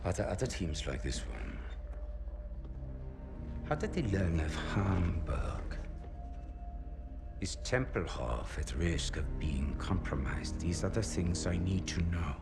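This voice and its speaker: Very deep, gravelly Nazi commander. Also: male voice, expressive, music in the background, heavily acted. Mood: grave, probing. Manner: slow, grave, clipped orders. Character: cold, secretive, commanding senior Nazi officer. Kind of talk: cinematic war game cutscene acting.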